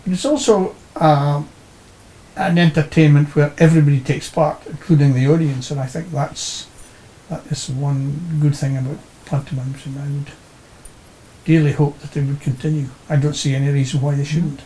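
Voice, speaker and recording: A man giving a measured, somewhat formal interview answer, deliberate and repeating his phrases. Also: a little high, fairly even intonation, dark tone, rough recording. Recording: faint hiss behind voice.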